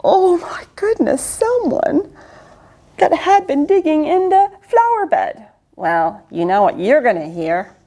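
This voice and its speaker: A woman reading a picture book aloud to children, expressive and swooping, with lots of exclamations. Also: high voice, slow. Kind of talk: read-aloud storytelling to children.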